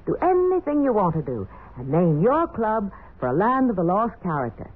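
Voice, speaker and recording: A woman's voice: mid-range voice, sing-song, moderate pace, husky. A woman hosting a 1940s children's radio show, addressing listeners in a scripted style. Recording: tinny, phone-like 1940s radio recording.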